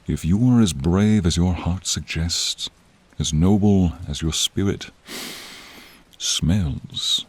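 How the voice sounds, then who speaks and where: A man voicing a noble, friendly silver dragon in a fantasy roleplay, deep and breathy, with solemn, old-fashioned courtly speech addressed to the listener. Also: no echo, heavily acted. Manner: slow, archaic, solemn, addressing listener directly. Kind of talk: scripted fantasy ASMR roleplay. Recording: rain sound effects behind the voice.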